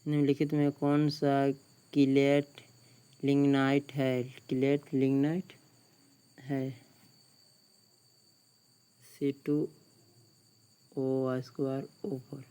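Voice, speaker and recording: A man with a Bihari Hindi accent reads practice questions in a slow, level monotone, saying each one twice before he gives the answer. His voice is mellow and smooth, and faint crickets chirp behind him. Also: mid-range voice. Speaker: exam tutor. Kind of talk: reading out quiz questions and answers.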